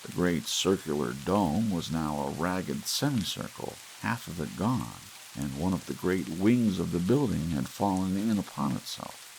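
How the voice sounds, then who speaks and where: A man with a low, gravelly voice narrates a story softly and slowly, lingering on pauses in a gentle bedtime manner. His pitch moves a lot for such a quiet read, rising and dipping with each phrase. Faint hiss and soft rain sit under the voice. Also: lively intonation, low-quality recording. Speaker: audiobook narrator.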